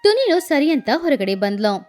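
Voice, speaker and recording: A woman's voice: sits high, very animated, normal speed, darkish tone. A woman reading scripted narration for a children's story. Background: background music.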